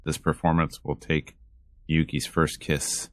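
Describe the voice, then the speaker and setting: A man's voice: extremely low pitch, level tone, measured pace, dry recording. A man reading a visual novel aloud as a streamer, dramatic in delivery with wry, joking asides, over game music. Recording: music behind the voice.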